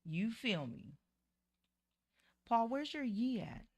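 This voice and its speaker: A woman hosting a casual interview in a recording studio, relaxed and chatty, peppering her talk with "you know what I'm saying.". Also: on the high side, slow. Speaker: host.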